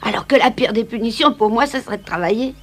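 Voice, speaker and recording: A woman's voice: fairly high pitch, quick pace, natural voice. A woman voicing a cheeky, carefree schoolboy in a French cartoon dub. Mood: sly, offhand. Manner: cheeky, casual, boyish. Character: mischievous, carefree schoolboy who shirks work. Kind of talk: French cartoon dub, with first-person narration.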